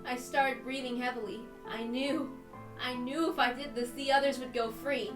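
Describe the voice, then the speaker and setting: A woman with a very high voice gives a dramatic first-person reading of a visual novel. Her delivery is tense and deliberate. She sounds set back from the mic in a roomy space, with clear music underneath. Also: fairly even intonation, distant mic. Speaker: reader on a stream.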